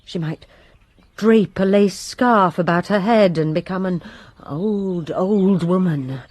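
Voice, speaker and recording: A woman's voice: fairly low pitch, slow pace, a little mellow, no echo. A woman playing a resentful lady's maid who gives her testimony with bitter emphasis in a radio drama.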